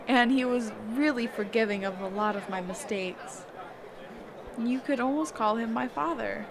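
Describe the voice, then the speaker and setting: A woman's voice: on the high side, a little slow, a crowd in the background. A woman playing a kind, reclusive magic teacher in an intimate roleplay audio, speaking hesitantly, flustered and trailing off. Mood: wistful. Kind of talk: intimate character roleplay audio, one-sided conversation.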